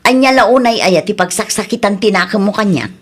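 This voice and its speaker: A woman's voice: mid-range voice, fairly quick, breathy edge, close to an everyday voice. Woman acting fed up older cousin in an ilocano radio comedy, ilocano accent, scolds whoever is late. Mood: scolding. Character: exasperated older female cousin who scolds latecomers.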